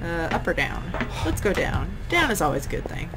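Playful woman gamer with lively pitch. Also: a little fast, over loud music. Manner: playful, exclamatory, self-talking. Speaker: gamer playing and commenting. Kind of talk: casual let's play chat with co-commentator.

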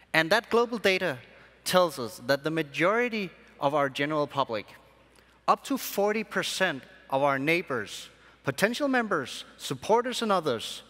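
A young man, born in Sri Lanka and raised in Denmark, giving a keynote speech to a convention audience from a large hall stage with measured, emphatic phrasing. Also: on the high side, a bit bright. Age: young adult. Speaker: conference speaker. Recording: long hall reverb.

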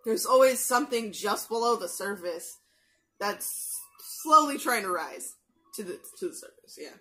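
A woman's voice: mid-range voice, animated, bright, forceful tone, soft background music. A young woman talks loosely and casually, piling up fillers and restarting her sentences as she goes. She sounds close to a headset microphone in a small bedroom. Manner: chatty, many fillers and restarts, quick asides. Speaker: anime reaction youtuber. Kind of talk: casual rambling commentary. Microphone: gaming headset mic.

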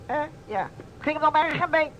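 A man's voice: very high voice, animated, normal speed, a bit bright, pitched above the performer's own voice. A man voicing a cheeky, sullen teenage schoolboy with a nasal, insolent delivery in an old radio play. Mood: smug. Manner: slangy, insolent. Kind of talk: vintage Dutch radio play acting. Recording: dull, noisy old radio recording.

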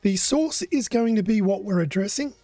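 Older guy teaching modding on stream, hoarse and mellow, dry close sound. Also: sits high, moderate pace, no room sound, soft background music. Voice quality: hoarse, coughing. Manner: relaxed, explanatory. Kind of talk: live coding tutorial.

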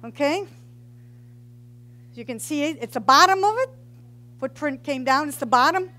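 A woman's voice: high-pitched, lively intonation, moderate pace, pushed and bright. A middle-aged woman, a geology professor, giving an informal public science talk into a headset mic in a large hall, joking and quizzing the audience. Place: large hall with brick walls.

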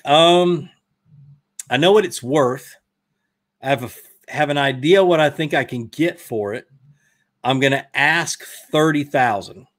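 A man's voice: mid-range voice, a bit bright. Rambling Southern man answering live chat. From Georgia, US. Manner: casual, rambling, self-correcting. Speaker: fishing channel host. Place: indoor studio room, brick wall. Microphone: desk mic in front.

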